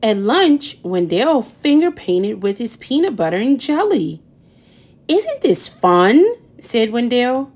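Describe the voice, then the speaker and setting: A woman storyteller reads a picture book aloud for children. Her voice is fairly high and very animated, and she takes her time, stressing key words dramatically. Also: a little slow, few pauses. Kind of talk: expressive picture book narration.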